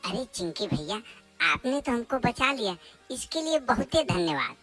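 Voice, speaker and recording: A woman's voice: a little high, sing-song, normal speed, music in the background. A woman voicing an eager, stubborn little boy in a children's cartoon, with a pleading childlike manner. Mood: grateful. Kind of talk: children's cartoon story acting.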